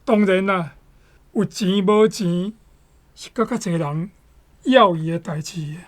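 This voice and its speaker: A woman's voice: mid-range voice, takes it slowly. A woman with a Taiwanese accent giving conversational commentary.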